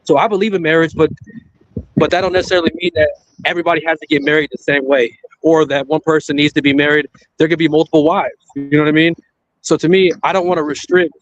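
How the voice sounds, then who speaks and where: A man's voice: on the high side, level tone, quick pace, no echo, lo-fi sound. A married man on a discussion panel, talking in a loose, rambling way and often adding "you know what I mean.".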